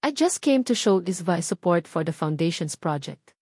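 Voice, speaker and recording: A woman's voice: lowish voice, sing-song, moderate pace, a little mellow, husky, dry recording. Elegant, condescending wife for an audio romance, voiced by a female narrator, coolly polite and probing, dry studio-like sound. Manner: falsely polite, probing, pointed. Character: elegant, cold, condescending rich wife, adult woman.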